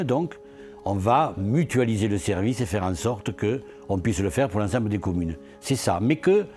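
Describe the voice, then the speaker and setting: A man with a somewhat low-pitched voice speaks as an elected official presenting local achievements. His manner is earnest and rhetorical, as in a promotional interview. Soft music plays faintly behind him.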